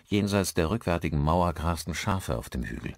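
Male narrator for horror audiobook. Low voice, dry close sound, scripted reading. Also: animated, normal speed, dry recording.

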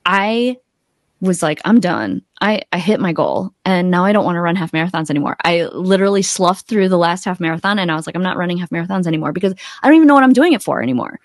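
A middle-aged woman with a fairly low voice speaks as a guest in a casual interview. She tells things like a storyteller, quoting her own thoughts. The sound is close and dry, on a desktop podcast mic. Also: no room sound. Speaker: podcast guest, accountability coach. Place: small home room, indoors, video call. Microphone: close desktop podcast microphone.

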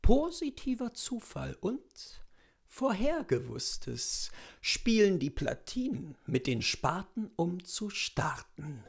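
A woman's voice: fairly low pitch, sing-song, slow, vocal fry, slightly rough. A woman gives a mock-serious, declamatory dramatic recitation of lyrics as poetry, drawing out her words. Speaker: reader of literary texts. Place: indoors, room with bookshelves.

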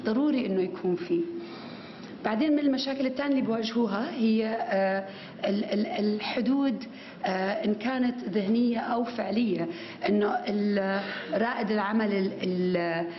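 A woman's voice: mid-range voice, a little fast, echoey room, dull audio, slight background noise. A woman gives a conference talk from a podium microphone. She gestures as she speaks and uses many fillers and restarts. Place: conference hall with stage.

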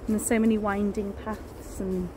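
A woman's voice: medium pitch, flat delivery, normal speed. Chatty woman filming a nature walk. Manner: enthusiastic, chatty, many fillers. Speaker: nature vlogger. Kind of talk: casual walking vlog. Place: outdoors in woodland and on a beach. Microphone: phone held at arm's length. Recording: outdoor phone recording with noise. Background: distant planes and outdoor ambience.

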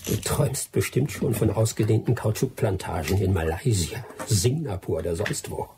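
An actor playing a bitter, scheming colonial-era adventurer in a radio drama, theatrical and sardonic. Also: male voice, lowish voice, animated, normal speed, mellow, a little hiss or hum. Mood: gloating, menacing. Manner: theatrical, muttering and gloating. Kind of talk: radio drama acting with first-person narration.

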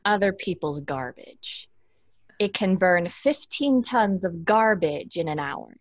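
A sardonic, impatient young woman in an audio drama. Her pitch moves a lot, her manner is dry and sarcastic, and the sound is close and dry. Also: animated, slow, dry recording.

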